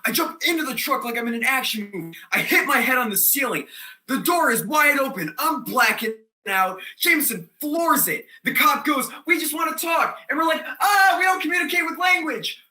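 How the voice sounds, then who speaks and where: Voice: sits high, animated, normal speed, pushed and bright, full character voice. An actor playing a scatterbrained, defiant theater student telling a comic monologue in slangy, rambling style, given to sudden outbursts. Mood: frantic, excited. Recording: echoey room.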